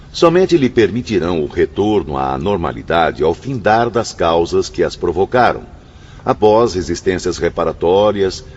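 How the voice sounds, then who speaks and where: A Brazilian actor playing a solemn, grave man in a radio drama, declaiming with emphasis. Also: sits low. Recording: dull lo-fi audio, faint background noise.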